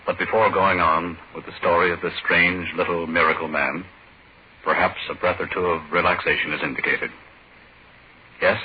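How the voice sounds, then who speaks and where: A man with a very low, heavily husky voice hosts a vintage radio show. His announcing is formal and measured, and the recording is dull with age.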